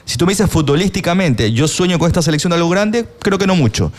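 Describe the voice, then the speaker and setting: Male pundit, measured analytical delivery, panel sports talk. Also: high voice, lively intonation. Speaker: football pundit.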